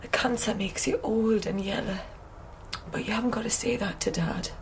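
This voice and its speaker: A woman's voice: mid-range voice, steady tone, normal speed, slight background noise, natural voice. A woman playing a weary, overwrought working-class mother in a radio drama, pleading and tender, with a North East English accent. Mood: tender, tearful. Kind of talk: naturalistic 1990s radio drama acting.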